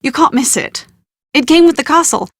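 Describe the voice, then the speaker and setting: An actress playing a well-bred, proud young British bride-to-be in a video game, with prim, articulate, genteel phrasing and exasperated confidence. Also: female voice, high-pitched, expressive, normal speed, dry recording, clean studio sound. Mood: matter-of-fact. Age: young adult. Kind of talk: scripted video game dialogue.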